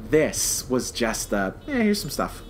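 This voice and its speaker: An American man co-hosting a podcast in casual, animated fan chat that slips into mock quotes. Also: medium pitch, measured pace, a little hiss or hum. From United States. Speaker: podcast co-host.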